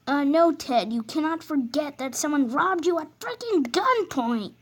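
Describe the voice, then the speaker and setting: A woman's voice: medium pitch, lively intonation, a little slow, lightly acted. A woman voicing an impatient male engine driver who talks bluntly and irritably. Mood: irritable.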